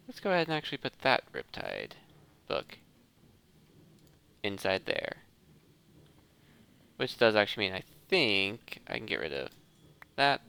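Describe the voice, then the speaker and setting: A man's voice: fairly low pitch, creaky, pushed and bright, clear, resonant tone. A man hosting a gaming video, giving casual commentary and thinking aloud as he talks through each step. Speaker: host of a gaming video series.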